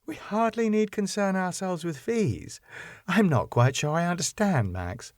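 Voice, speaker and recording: A man's voice: high-pitched, expressive, measured pace, dry recording, clean studio sound, pitched above the performer's own voice. A man voicing a sardonic, sceptical sitcom wife. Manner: sardonic, questioning. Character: dry, sceptical wife of a club owner. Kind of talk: sitcom script reading.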